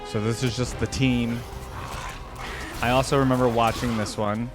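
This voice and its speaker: A man's voice: medium pitch, level tone, moderate pace. A young adult man giving casual, conversational reaction commentary as a video host, thinking aloud. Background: game cinematic music.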